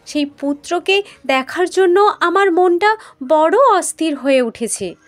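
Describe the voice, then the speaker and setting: A woman's voice: very high voice, expressive, unhurried. A woman storyteller voicing an eager mother goddess, urging and full of longing, in a mythological tale. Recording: music behind the voice.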